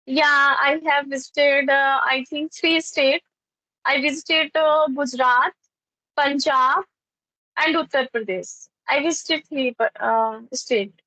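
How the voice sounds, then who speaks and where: A woman with a noticeably high voice and a North Indian accent chats casually in English. She is a native Hindi speaker who uses simple, repetitive phrasing. Her delivery is unhurried, and the sound is dry and close. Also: steady tone, sharp tone, dry recording, rough recording. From northern India, raised in Chandigarh. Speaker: English conversation teacher. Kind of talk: casual English practice conversation.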